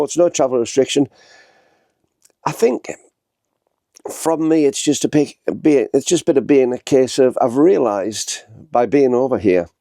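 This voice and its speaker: A man, his voice thick and blocked up from a head cold. His tone is dark and gravelly, and it sounds close and dry. He rambles chattily to the camera and keeps restarting his sentences. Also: medium pitch, no echo. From northern England. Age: 60s. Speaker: vlogger. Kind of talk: casual talking-to-camera vlog. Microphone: small handheld camera.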